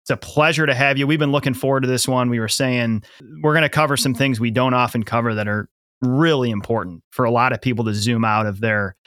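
A brash American podcast co-host, mid-pitched and swearing freely. His voice comes through a close, dry mic. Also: steady tone, fairly bright tone, no echo. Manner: brash, profane, fast ad-read delivery. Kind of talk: casual podcast chat with ad reads.

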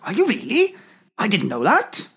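Woman doing a posh dithery producer bit, breathy, lots of ums. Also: medium pitch, huge pitch swings, normal speed. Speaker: TV producer in a sketch. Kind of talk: comedy sketch acting.

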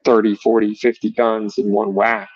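A man's voice: fairly low pitch, little pitch movement, moderate pace, no room sound, lo-fi sound. A man chatting casually as an interview guest, explaining things and acting out bits of imagined dialogue. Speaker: gun shop owner, podcast guest.

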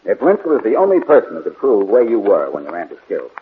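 An actor playing a confident, wry amateur detective in a 1940s radio drama, with brisk, snappy delivery. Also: male voice, fairly low pitch, wildly swooping pitch. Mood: skeptical, pointed. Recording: muffled, noisy 1940s radio recording.

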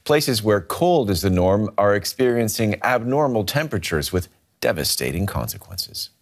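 A man's voice: fairly low pitch, big pitch swings, moderate pace. A man presenting scripted news as an anchor.

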